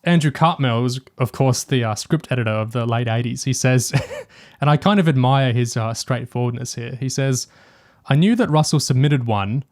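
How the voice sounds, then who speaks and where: Male podcast co-host, medium pitch, conversational and discursive, detail-minded. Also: normal speed, a little mellow. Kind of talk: casual discussion and review, reading out quotes.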